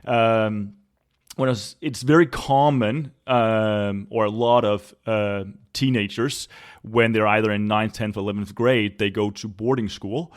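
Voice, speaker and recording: Earnest Danish-accented man, low voice. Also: fairly even intonation, a little slow. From Denmark. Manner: earnest, reflective storytelling with frequent restarts. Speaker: church planter and pastor. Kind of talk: personal testimony in an interview. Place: a home.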